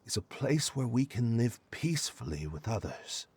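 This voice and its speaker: A man's voice: medium pitch, big pitch swings, normal speed, background noise. A man playing a penitent young noble in a video game cutscene, hesitant, formal and earnest. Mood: earnest, regretful. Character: penitent young male noble, disoriented and weary.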